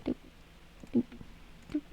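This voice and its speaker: A woman in her twenties, going hoarse as she chats casually and mutters to herself while streaming a game. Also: slow pace, dark tone, far from the mic, lo-fi sound. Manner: chatty, self-mocking, hums and mutters to herself. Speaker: gaming streamer. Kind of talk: casual gameplay commentary.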